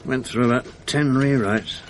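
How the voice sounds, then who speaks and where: An actor playing a bitter, sardonic middle-aged husband with a British accent in a radio drama, his voice husky. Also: medium pitch, normal speed, slight background noise, close to an everyday voice, poor audio. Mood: dry, weary. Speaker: lead actor and narrator.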